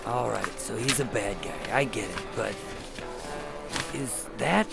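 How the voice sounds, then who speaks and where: An actor playing a wary, wry undercover FBI agent in a noir-like radio drama. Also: male voice, fairly low pitch, slow pace, vocal fry, over music. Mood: probing. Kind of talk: noir-like scripted narration and radio drama acting.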